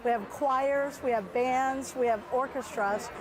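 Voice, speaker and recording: A woman music educator explaining an event in a clear, informative way. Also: high voice, measured pace, background noise.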